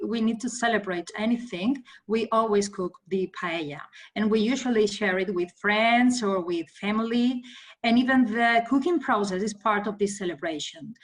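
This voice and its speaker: A woman from Valencia, speaking English as an as a panel guest, explaining earnestly. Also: mid-range voice. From Valencia, Spain.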